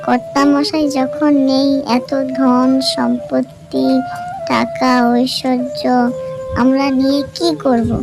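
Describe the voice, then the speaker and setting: Woman as a high-voiced melodramatic cartoon wife. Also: little pitch movement, takes it slowly, vocal fry, full character voice. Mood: sorrowful, resigned. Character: reproachful, sorrowful wife of a zamindar. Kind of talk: Bengali cartoon story dialogue. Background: background music.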